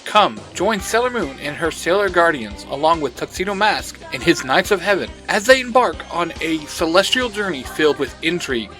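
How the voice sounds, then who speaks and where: A man announcing a scripted promo in a theatrical, excited trailer style. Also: fairly high pitch, moderate pace, hardly any pauses. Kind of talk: hyped scripted trailer read. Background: music.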